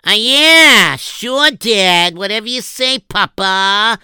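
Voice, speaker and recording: A man doing an eager young son in a told story, voice pushed way up and bright, quick to agree with everything. The tone is clear and lively. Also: no echo, studio quality, full character voice. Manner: eager, high-pitched agreeing.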